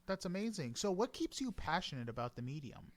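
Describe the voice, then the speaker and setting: A podcast host doing a chatty interview with a polite, deliberate, announcer-like delivery. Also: mid-range voice, very animated.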